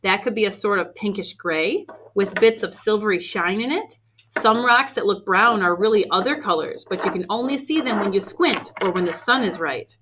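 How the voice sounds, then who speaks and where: A woman reading a picture book aloud to children expressively, with deliberate emphasis on key phrases. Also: medium pitch. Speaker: read-aloud storyteller for children. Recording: faint background noise.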